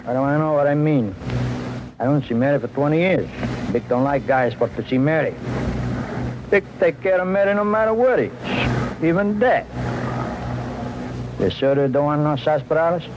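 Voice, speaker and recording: An actor playing a genial, evasive aging ex-mobster in a 1950s radio crime drama, speaking florid broken English on a muffled, noisy old broadcast. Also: male voice, fairly high pitch, lively intonation, moderate pace, pauses often, lightly acted. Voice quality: broken English with odd syntax. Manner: florid malapropisms, shrugging counter-questions.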